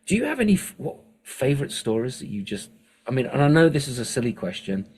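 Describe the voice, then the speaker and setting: A man hosting an interview, enthusiastic and quick with praise, asking rambling questions. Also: mid-range voice, big pitch swings, a little mellow. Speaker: podcast host. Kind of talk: casual interview chat.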